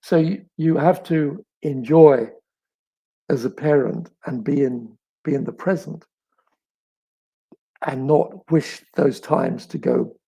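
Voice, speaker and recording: A middle-aged man with a crackly, raspy voice, as if with a frog in his throat, chatting reflectively as an author and telling stories with thoughtful pauses and emphasis. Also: on the high side, warm tone. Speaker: author answering audience questions. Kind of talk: reflective live chat, storytelling.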